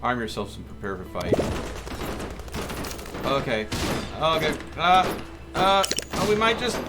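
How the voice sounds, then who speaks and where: Animated man commentating gameplay over music. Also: on the high side, unhurried. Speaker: gaming streamer. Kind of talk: casual live gameplay commentary, reading game text aloud. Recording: music behind the voice. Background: game music.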